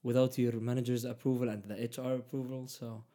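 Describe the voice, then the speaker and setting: A man's voice: mid-range voice, level tone, brisk, warm tone. Hesitant Arabic-speaking man in casual talk. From Palestinian, raised in Egypt. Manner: hesitant, many fillers and restarts. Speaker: guest. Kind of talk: casual conversation.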